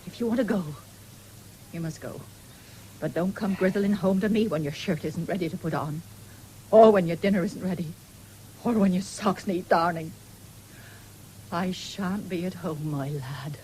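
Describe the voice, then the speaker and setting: A woman's voice: fairly low pitch, normal speed, long pauses, close to an everyday voice, low-quality recording. A woman playing a nagging, anxious middle-aged farm mother in a radio drama. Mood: scolding, hurt. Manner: nagging, sharp-tongued. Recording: 1970s radio broadcast with background noise.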